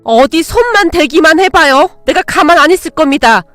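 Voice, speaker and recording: A woman's voice: sits high, lively intonation, normal speed, fairly bright tone, no echo, faint music behind. Woman voicing a folksy, protective older mother-in-law. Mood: fierce, protective. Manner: folksy old-fashioned elder's speech. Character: fierce, protective older mother-in-law, tender with her own.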